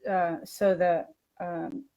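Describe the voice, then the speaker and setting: Female teacher trainer, slightly low voice, informal and practical, talking through methods in a webinar. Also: flat delivery, slow, dark tone.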